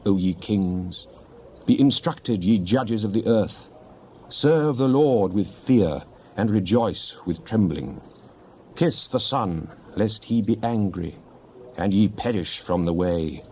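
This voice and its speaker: A man recites psalms in a solemn, declamatory style. His voice is very dark and a bit low. It is a slightly muffled recording of a dramatic scripture reading. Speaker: scripture reader. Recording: dull lo-fi, faint background noise.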